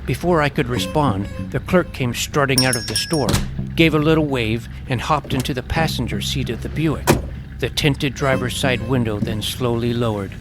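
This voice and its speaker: A man's voice: mid-range voice, moderate pace, natural voice. An actor playing a wry twelve-year-old boy detective who narrates his own adventure in an audio drama. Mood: suspenseful. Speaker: narrator and lead. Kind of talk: scripted first-person story narration. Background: clear music.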